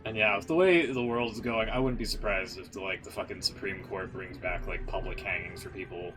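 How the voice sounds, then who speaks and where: A male streamer with a low voice chats casually and off the cuff. He is blunt and swears freely, and music plays clearly behind him. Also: fairly quick, low-quality recording. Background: background music.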